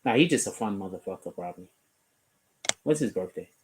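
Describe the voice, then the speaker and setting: A man's voice: lowish voice, lively intonation, brisk, background noise. A man from the Bronx, hosting a casual stream and commenting on news with loose, profane chatter while he clicks through web pages. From the Bronx, New York. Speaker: streamer host.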